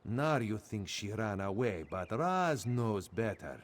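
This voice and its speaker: A man's voice: fairly low pitch, lively intonation, slow. An actor playing a sly male cat-folk spy in a video game, who speaks of himself in the third person with a playful yet menacing manner. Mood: worried, vengeful.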